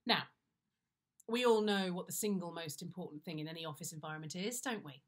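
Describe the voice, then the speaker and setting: Low-pitched British woman reading a wry story. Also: fairly quick. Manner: wry, confiding, expressive timing. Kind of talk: first-person comic story reading, conversational. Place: indoors, plain-walled room.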